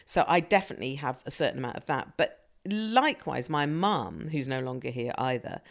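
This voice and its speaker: A woman's voice: very deep voice, animated, fairly quick, creaky. A middle-aged woman in a thoughtful, searching conversation, often restarting her sentences. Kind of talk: reflective casual conversation.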